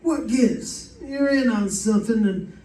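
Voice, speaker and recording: A suspicious police detective acted by a man in a vintage radio mystery. His voice is notably high yet mellow, pressing and dogged, caught from afar in a roomy space. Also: a little slow. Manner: pressing, questioning. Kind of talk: 1940s radio detective acting. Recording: roomy, distant mic.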